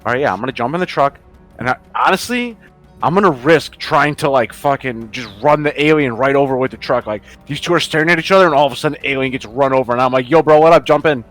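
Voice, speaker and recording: A male tabletop gamer talks in a casual, slangy way and swears freely. His voice sits at an ordinary middle pitch, with faint music underneath. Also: quick pace, fairly bright tone. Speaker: player in a tabletop roleplaying game. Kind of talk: casual chat.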